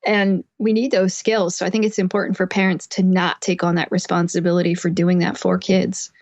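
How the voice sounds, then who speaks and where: A woman's voice: lowish voice, level tone, a little fast, a little mellow, no room sound. A woman guest expert giving conversational interview advice, explaining things through everyday examples. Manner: explanatory, uses everyday examples and imagined quotes. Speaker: guest expert, psychotherapist and author.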